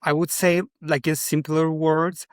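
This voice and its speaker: A man with a Ukrainian accent giving reflective interview answers, haltingly searching for words as a podcast guest. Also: fairly high pitch, level tone, clean tone, no echo, clean studio sound. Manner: halting, searching for words in non-native English. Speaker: podcast guest, novelist and cultural theorist.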